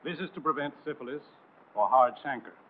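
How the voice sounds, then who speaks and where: A man's voice: medium pitch, a little fast. A man narrating a 1940s military training film in a formal, authoritative, didactic and emphatic scripted style. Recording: noisy, tinny 1940s film soundtrack.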